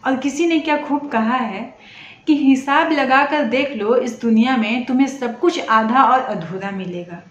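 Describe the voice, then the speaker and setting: A woman telling an emotional moral story, speaking directly to her listeners as a storyteller. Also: fairly high pitch. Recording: echoey room, dull sound, faint noise.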